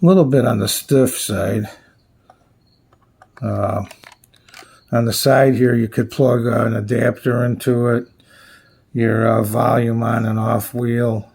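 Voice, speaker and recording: Gravelly, husky man reviewing gadgets, unhurried. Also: medium pitch, darkish tone. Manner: plainspoken, halting, thinking aloud. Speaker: radio reviewer presenting his channel. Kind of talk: casual unscripted product walkthrough.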